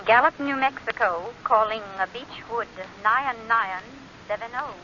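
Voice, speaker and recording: An actress playing a polite, businesslike telephone operator, in crisp and formal 1940s radio drama style. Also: female voice, fairly high pitch, a little slow, bright, forceful tone. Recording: muffled old radio broadcast with faint hiss.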